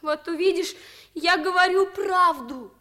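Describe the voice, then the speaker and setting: A woman's voice: extremely high pitch, measured pace, pushed and bright, exaggerated voice. An actress playing an earnest young French boy in a 1950s radio play, pleading and insistent.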